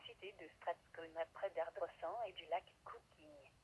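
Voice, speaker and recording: A woman's voice: fairly low pitch, sing-song, creaky, poor audio. Synthetic woman alert announcer over hissy radio. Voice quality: synthetic text-to-speech voice. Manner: formal, measured list reading. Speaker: automated weather alert announcer. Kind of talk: scripted emergency weather alert readout. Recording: hissy weather radio broadcast.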